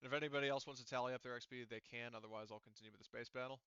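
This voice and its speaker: A man acting as game master in an online tabletop session, talking haltingly as he thinks aloud through rules and dice tallies. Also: medium pitch, steady tone, fairly bright tone, clean tone, no room sound, muffled recording.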